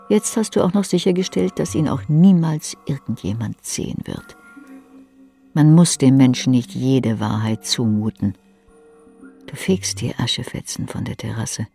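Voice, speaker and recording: Casting: male literary narrator, dark gravelly tone, terse second-person delivery. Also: medium pitch.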